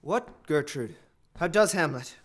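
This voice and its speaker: Commanding but uneasy monarch for an actor, slightly high-pitched, formal declamation of Shakespearean verse. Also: male voice, lively intonation, measured pace. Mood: urgent. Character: troubled, commanding king, anxious for himself. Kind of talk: Shakespearean audio drama acting.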